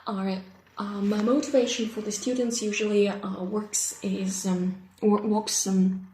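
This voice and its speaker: A woman's voice: medium pitch, level tone, measured pace, darkish tone, slight background noise. Hesitant Russian-accented woman teacher. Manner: hesitant, many ums and uhs, searching for words. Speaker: English teacher. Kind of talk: unscripted self-introduction. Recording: echoey room.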